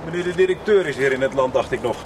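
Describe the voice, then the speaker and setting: An actor in a radio soap playing a proud, touchy middle-aged farmer, blunt, sarcastic and defensive. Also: male voice, medium pitch, lively intonation, very fast, noisy background, lightly acted.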